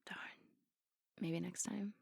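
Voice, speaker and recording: A woman's voice: fairly low pitch, steady tone, slow, creaky, mellow, breathy edge, no room sound, clean studio sound, full character voice. A woman playing a flirty, playful, affectionate barista on a date in an intimate, teasing ASMR roleplay. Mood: teasing, amused.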